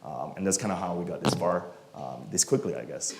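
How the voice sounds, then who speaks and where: Relaxed low-voiced Malaysian man, echoey room. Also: fairly quick. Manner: relaxed, many fillers, trails off quietly. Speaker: guest speaker, software engineer. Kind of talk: casual talk and Q&A answers. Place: meetup event room.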